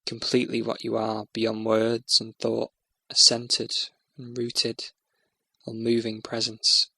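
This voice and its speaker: Gravelly, even-toned male meditation guide. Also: medium pitch, dry recording. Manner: soothing, measured, instructional.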